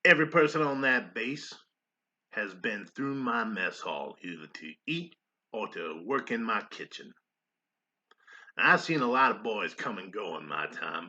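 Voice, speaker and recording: A man playing a folksy army staff sergeant and mess cook, telling his story in a theatrical monologue with pauses and emphatic swells. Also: on the low side, pushed and bright, heavily acted. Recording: dull, lo-fi sound.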